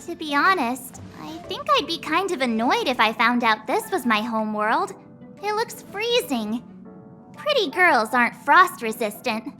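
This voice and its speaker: High, perky teenage adventurer girl. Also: sing-song, measured pace, pushed and bright, soft background music, full character voice. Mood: playful. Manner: chirpy, breezy, teasing. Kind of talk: anime-style video game voice acting.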